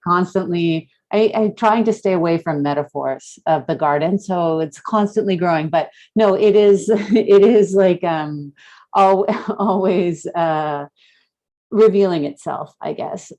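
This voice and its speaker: A woman with a slightly low voice speaks as a guest in a casual, reflective discussion. She feels her way through her thoughts haltingly, searching for the right word. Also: warm tone. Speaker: art gallery director and curator, guest speaker. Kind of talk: casual reflective panel talk.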